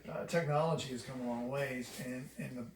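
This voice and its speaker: A middle-aged man co-hosting a podcast in casual chat, speaking in a measured, reflective way and looking back on older technology. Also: mid-range voice, steady tone. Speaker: podcast co-host. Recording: echoey room, mic at a distance, faint noise.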